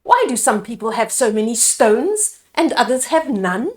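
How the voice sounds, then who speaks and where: A woman's voice: a little high, sing-song, moderate pace, roomy sound. Woman acting indignant, jealous storybook villager. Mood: envious complaint. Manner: indignant, complaining. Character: jealous, quarrelsome villagers squabbling over treasure.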